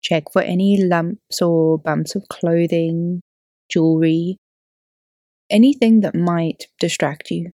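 A woman yoga teacher leading a guided relaxation in a soothing, unhurried, gently instructing way. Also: fairly low pitch, fairly even intonation, no echo, studio quality. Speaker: yoga teacher and podcast host. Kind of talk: guided relaxation meditation narration.

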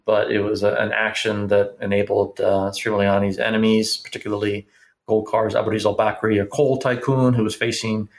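A man giving political analysis in conversation, speaking in a measured, halting way with formal vocabulary. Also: lowish voice, vocal fry. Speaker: political analyst, interview guest.

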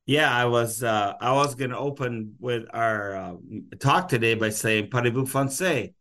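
A man's voice: medium pitch, normal speed, bright, forceful tone. A man hosting a podcast interview, talking in a loose, rambling, unscripted way. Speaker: podcast host. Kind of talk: casual interview chat.

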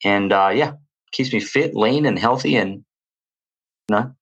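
A man in his thirties with an Australian accent, a podcast guest telling stories in an energetic, rambling way. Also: fairly low pitch, unhurried, breathy edge, muffled recording. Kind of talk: casual chat.

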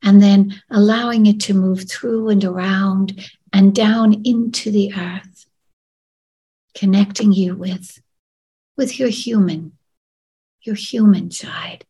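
A woman guides a meditation very slowly and gently. Her voice is soft, breathy and husky, with a creaky fry at the edges. She often lets phrases fade toward a soothing whisper. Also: medium pitch.

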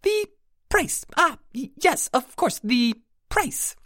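A high, squeaky pixie shopkeeper, perky and easily flustered. She stammers and reaches for grand, ornate words. The voice swoops lively over a dry, close sound. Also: takes it slowly, no room sound, exaggerated voice. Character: tiny perky female winged shopkeeper, flustered.

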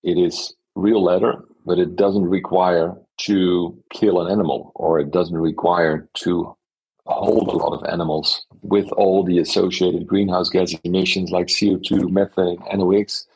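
A man with a husky voice, explaining examples conversationally as an investor being interviewed. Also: deep voice, little pitch movement, a little slow, darkish tone, poor audio. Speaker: interview guest, venture investor.

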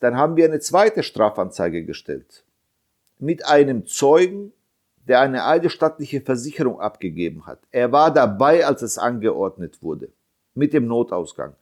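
Indignant German man around fifty. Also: mid-range voice. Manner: indignant, emphatic, repeats key points; sharp rhetorical questions. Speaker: interviewee, bereaved father. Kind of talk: impassioned interview testimony.

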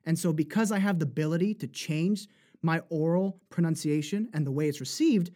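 Jersey guy voice actor, kinda high voice, chatty and laughy. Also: a little fast. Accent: New Jersey. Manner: animated, laughs often, slips into accent demos. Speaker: voice actor guest. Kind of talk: casual interview chat.

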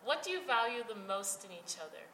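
A woman's voice: fairly high pitch, big pitch swings, fast, pushed and bright. Teen girl host, reads scripted questions, echoey room with noise behind. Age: teens. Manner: scripted questions, light laughs. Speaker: podcast host. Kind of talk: podcast interview.

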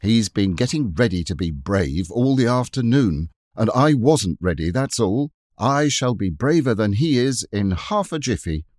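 A man's voice: fairly low pitch, animated, measured pace, few pauses, darkish tone, dry recording, clean studio sound. A man voicing a proud older boy speaking indignantly. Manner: indignant, boastful.

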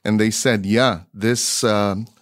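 A man recounting an anecdote as an interview guest, halting and repeating words as he searches for the next one. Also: fairly low pitch, steady tone, no room sound, clean studio sound. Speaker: guest, mission broadcaster. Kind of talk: conversational anecdote.

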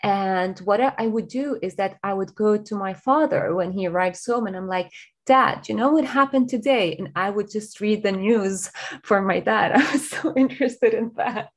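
A woman's voice: medium pitch, normal speed. A woman from Lebanon, a guest on an interview podcast over a remote call, answering earnestly and enthusiastically with frequent restarts.

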